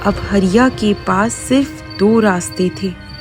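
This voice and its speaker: A woman's voice: medium pitch, takes it slowly. A woman narrating a folk tale as a dramatic scripted story. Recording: faint music behind the voice.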